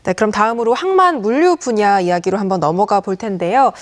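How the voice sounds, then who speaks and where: A woman's voice: mid-range voice, fast talker. A woman hosting a TV interview, asking polite, poised questions. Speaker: TV interview host.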